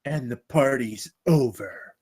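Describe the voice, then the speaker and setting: A man at a live table read playing a defiant underdog campus security guard, with slow, dramatic delivery. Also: mid-range voice, darkish tone, slightly rough. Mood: grimly triumphant.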